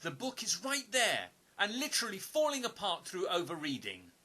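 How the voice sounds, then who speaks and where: A man acting in an audio play as an observant stranger with a hidden past, speaking in an earnest, deliberate, sometimes hesitant way. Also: high voice, wildly swooping pitch, sharp tone, full character voice. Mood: challenging.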